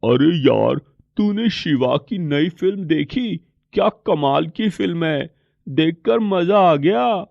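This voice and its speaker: A man's voice: fairly high pitch, animated, slow, vocal fry, dry recording, muffled recording, full character voice. A man voicing a boastful, teasing schoolboy in a moral story, with a showy, bragging manner. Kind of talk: moral story character acting.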